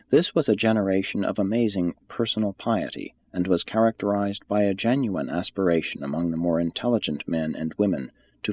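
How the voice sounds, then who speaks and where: A man reading a religious text aloud as scripted narration, in a measured, formal manner. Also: sits low, a little mellow, slightly rough, dull audio. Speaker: audiobook reader.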